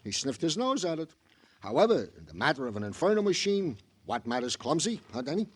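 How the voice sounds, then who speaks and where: An actor playing a chatty, fussy police sergeant with florid, mock-poetic phrasing in a 1950s radio crime drama. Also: male voice, fairly high pitch, very animated, a little fast, husky. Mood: musing.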